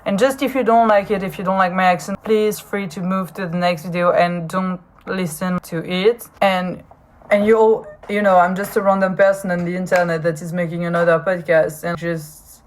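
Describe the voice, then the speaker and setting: Woman, French accent, lowish pitch, hesitant, earnest podcast host, frequent restarts. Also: level tone, moderate pace. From France. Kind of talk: casual solo podcast monologue.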